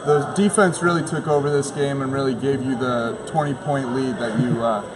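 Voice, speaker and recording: A man's voice: mid-range voice, fairly even intonation, moderate pace, a crowd in the background. A young man giving postgame basketball commentary as a panelist on a sports show. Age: young adult. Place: large indoor basketball gym. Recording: noisy gym ambience behind voice.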